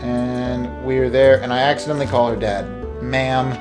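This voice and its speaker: Young man rambling over loud game music. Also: mid-range voice, flat delivery, normal speed. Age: young adult. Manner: rambling, jokey, sidetracks himself. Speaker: gaming video host. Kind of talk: casual storytelling over game play. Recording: music behind the voice. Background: video game music.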